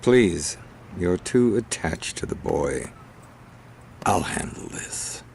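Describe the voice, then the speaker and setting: A man playing a sly, sneering crime boss in a video game, speaking with slow, mocking menace. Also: low voice, animated, creaky, a little mellow, slight background noise, heavily acted. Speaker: gang's scheming partner. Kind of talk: video game cutscene acting.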